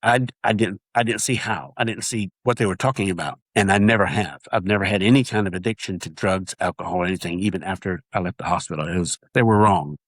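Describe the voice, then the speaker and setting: A man's voice: low voice, no room sound. A man, earnestly sharing his testimony in an interview and often restarting his sentences. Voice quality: nasal voice. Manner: earnest, halting with repeated restarts. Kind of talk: casual interview talk.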